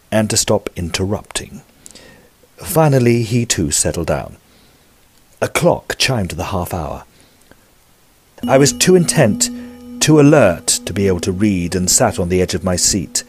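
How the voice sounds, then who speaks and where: An actor playing a gentlemanly Edwardian narrator of a ghost story, telling it formally in a scripted audio drama. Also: male voice, medium pitch, dry recording.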